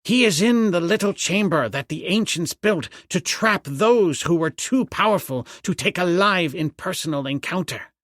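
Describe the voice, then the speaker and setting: A man's voice: medium pitch, very animated, a little slow, few pauses, a bit bright, dry recording, clean studio sound. A man voicing an eager, deferential priest who serves a villain in an adventure audiobook. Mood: proud. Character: eager, obsequious male priest, loyal henchman.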